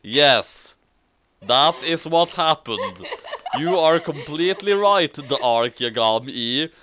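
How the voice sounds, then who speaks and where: A man's voice: fairly high pitch, expressive, takes it slowly, bright, forceful tone. A man reading a comedic fanfiction aloud with asides, in an amused, mock-dramatic way. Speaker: story reader.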